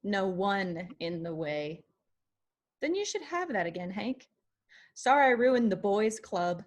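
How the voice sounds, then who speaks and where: A woman's voice: mid-range voice, very animated, slow pace. A woman playing a young female country singer, guarded and weary but playfully teasing, in a screenplay table read. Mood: defiant.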